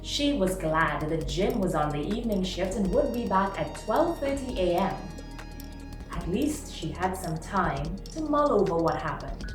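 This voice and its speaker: A woman reading a short story aloud in a dramatic, expressive narrating style. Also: on the low side, unhurried. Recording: roomy, distant mic, music behind. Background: music.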